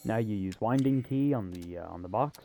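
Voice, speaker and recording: A man's voice: on the low side, brisk, creaky, dark tone, slight background noise. A man giving a casual video game walkthrough in an offhand way, with wry asides. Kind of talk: casual gameplay walkthrough commentary.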